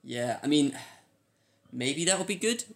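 A man's voice: a little high, lively intonation, unhurried, creaky. A man speaking as a podcast co-host, in loose, informal conversation. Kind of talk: casual chat.

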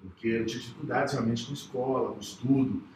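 A man, a psychologist guest, telling his own story in an earnest, halting way with many restarts. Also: medium pitch, a little mellow, a little hiss or hum. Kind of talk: personal testimony in casual talk. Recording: echoey room, voice from far off.

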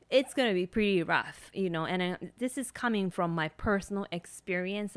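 A woman's voice: medium pitch, smooth, no echo. A woman in her thirties from Japan with a Japanese accent, a nurse practitioner hosting a podcast and talking earnestly with many ums and you-knows. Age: 30s. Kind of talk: solo podcast monologue.

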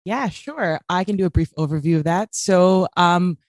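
A woman's voice: lowish voice, normal speed, a little mellow, no room sound, clean studio sound. A woman in her late twenties who grew up in Kuwait, speaking as a podcast guest in an earnest, casual way with many fillers. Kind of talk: casual interview talk.

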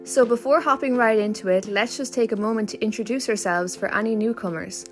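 A nineteen-year-old woman student introducing herself on a podcast in a friendly, measured way. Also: a little high, over loud music. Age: young adult.

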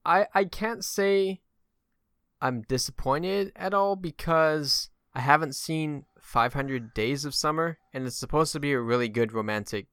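A man's voice: fairly high pitch, a little slow, fairly bright tone, clean tone, no room sound, clean studio sound. A man hosting a casual film discussion, rambling and often stammering as he works through his points. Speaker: film podcast host.

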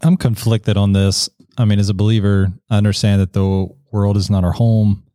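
Low-voiced candid man, very dry sound. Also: little pitch movement, normal speed, dark tone, smooth, no echo, soft background music. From Ecuador. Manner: candid, reflective, frequent self-corrections. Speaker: podcast co-host. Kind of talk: casual discussion.

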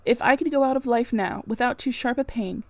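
A woman reading a classic novel aloud as a weary, quiet young governess, restrained, polite and firm. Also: on the high side, brisk, no echo, close to an everyday voice. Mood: weary, sorrowful.

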